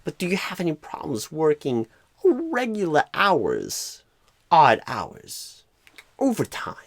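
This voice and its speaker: Guy doing a clueless rambling recruiter for asmr, slow weird pauses, breathy half whispers, pitch all over the place. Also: huge pitch swings, a little hiss or hum, exaggerated voice. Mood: earnest, halting. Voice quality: breathy, husky, often dropping to a whisper. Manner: halting, oddly broken phrasing, rambling. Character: clueless, rambling, eccentric male job recruiter. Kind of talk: ASMR roleplay.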